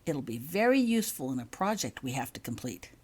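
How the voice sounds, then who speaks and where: A woman voicing a cheerful, brisk male professor giving orders in a storybook reading. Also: rumbling low, sing-song, a little mellow, husky, exaggerated voice.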